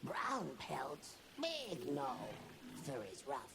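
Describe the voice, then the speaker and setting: Pompous little forest creature, swooping wildly. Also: female voice, sits low, very animated, takes it slowly, vocal fry, full character voice. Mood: dismissive. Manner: pompous malapropisms in broken, theatrical speech. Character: small proud forest creature, quaint trader and linguist. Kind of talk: video game character dialogue. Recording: game audio with loud background ambience.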